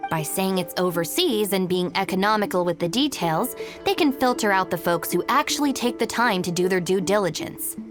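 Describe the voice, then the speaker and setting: A woman playing a practical, no-nonsense young shrine maiden in a video game, speaking in a brisk, matter-of-fact way. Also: medium pitch, expressive, music in the background, natural voice.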